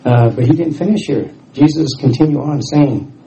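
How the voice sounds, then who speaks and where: A man's voice: fairly high pitch, normal speed, dark tone. A middle-aged man from Houston, with a scratchy voice, giving a casual sermon as guest speaker and restarting his sentences often. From Houston, Texas. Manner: informal, self-deprecating, restarts often. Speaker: guest speaker, former missionary. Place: church hall.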